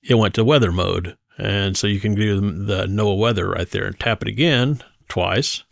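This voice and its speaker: Low creaky-voiced man reviewing a gadget. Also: no room sound, studio quality. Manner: hesitant, pausing mid-phrase, conversational. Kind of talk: casual product demonstration.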